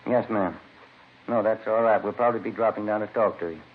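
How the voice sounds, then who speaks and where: An actor playing a courteous, matter-of-fact police detective sidekick in a vintage radio crime drama. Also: male voice, fairly quick, natural voice. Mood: factual. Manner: matter-of-fact, polite, low-key. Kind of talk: 1940s-50s radio crime drama acting. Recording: thin, phone-like vintage radio recording, faint hiss.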